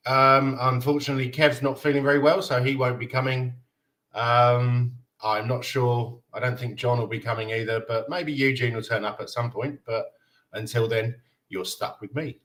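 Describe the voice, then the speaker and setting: A man's voice: medium pitch, steady tone, normal speed, a bit bright. A man from Denmark in his thirties hosting a casual fan live stream, chatting loosely and reading viewer comments aloud with frequent laughs. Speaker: fan livestream host.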